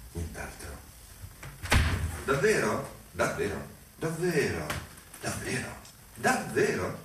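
An actor playing a falsely deferential, scheming servant in a theatrical monologue, repeating phrases obsessively in shifting tones. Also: male voice, fairly low pitch, very echoey, distant mic, noisy background. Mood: sly, needling.

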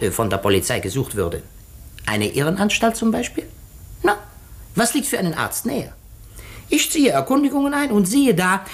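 A shrewd, theatrical detective in a 1950s radio drama. His light male voice swings widely in pitch as he probes, deliberate and sure of himself. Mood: dramatic, accusing. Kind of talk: 1950s German radio play acting.

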